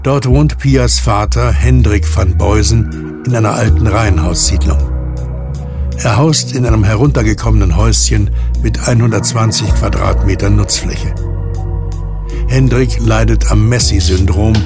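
Gravelly male audio drama narrator. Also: fairly low pitch, normal speed. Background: music.

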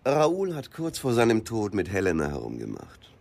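An actor in a German radio drama playing a middle-aged island landowner, self-righteous and indignant. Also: male voice, lowish voice, wildly swooping pitch, moderate pace, creaky, a little mellow, full character voice. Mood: indignant, accusing.

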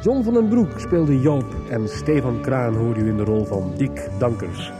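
A man's voice: medium pitch, animated, measured pace. A man reading scripted end credits as the announcer of a radio drama. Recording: radio broadcast over clear music. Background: theme music.

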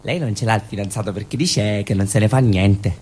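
Gossiping woman, low voice, confiding, stage monologue. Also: fairly quick, warm tone, slight background noise. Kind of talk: theatrical monologue.

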